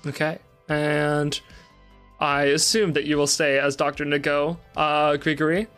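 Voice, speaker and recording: A man's voice: a little high, little pitch movement, measured pace, a bit bright, clean tone, soft background music. A man reading a fictional report aloud on a livestream and chatting casually. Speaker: guest on a charity stream.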